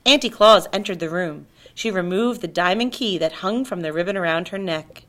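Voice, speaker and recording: A woman narrating a children's story aloud in an expressive storytelling style. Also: on the low side, moderate pace. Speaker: storybook reader.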